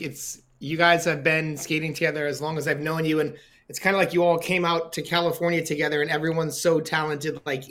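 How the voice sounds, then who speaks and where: A man's voice: on the high side, a bit bright. A man hosting a podcast, chatting casually and asking questions in a rambling, conversational way. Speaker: podcast host.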